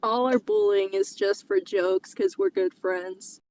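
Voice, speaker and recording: A woman's voice: medium pitch, unhurried, a little mellow, a little hiss or hum. A woman co-hosting a podcast, bantering casually over fan fiction with playful exasperation. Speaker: podcast co-host.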